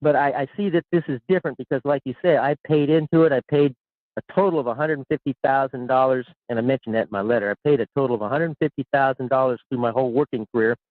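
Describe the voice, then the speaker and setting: A man's voice: medium pitch, fast, dry recording. A man in his sixties calling in to a radio show, rambling and repeating himself. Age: 60s. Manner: rambling, repeats words, chuckles. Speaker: caller. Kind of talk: call-in radio chat. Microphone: telephone. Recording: tinny phone line.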